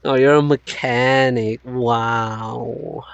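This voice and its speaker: A man's voice: mid-range voice, fairly even intonation, drawn-out words, vocal fry, clean tone, dry recording, dull audio. A man chatting casually online, teasing his friends in a sing-song way. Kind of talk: casual chat. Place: online voice chat.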